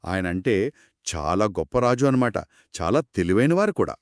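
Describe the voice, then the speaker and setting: A man telling a children's story in a conversational, expressive and explanatory way, as one of two narrators. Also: fairly low pitch, moderate pace, no echo, clean studio sound. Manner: expressive, explanatory, acts out characters' quoted lines.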